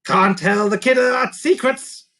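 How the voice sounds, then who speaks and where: A man's voice: extremely high pitch, measured pace, bright, forceful tone, voice pushed up, heavily acted. A man voicing a sly little creature that talks in broken, growly speech in a children's story. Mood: mischievous. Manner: growly creature voice with odd grammar. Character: sly male creaker creature, gruff and cheeky.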